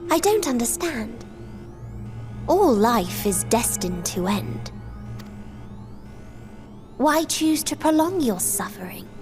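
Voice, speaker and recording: A woman playing an ethereal, despairing being who wills the end of all life, speaking in a solemn, detached, measured way in a game cutscene. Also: a little high, sing-song. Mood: cold, sorrowful. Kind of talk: dramatic game cutscene acting. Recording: music behind the voice.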